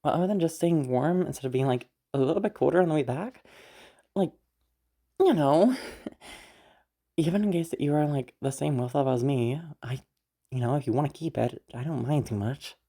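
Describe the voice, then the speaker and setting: A woman acting as a friendly escort in an audio roleplay, with a low, creaky voice. She rambles casually to the listener, sweary and giggly, and is easily flustered. Her tone is soft-edged and mellow. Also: animated, moderate pace, long pauses. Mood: friendly, slightly awkward. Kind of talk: roleplay audio drama, casual improvised-sounding monologue to the listener.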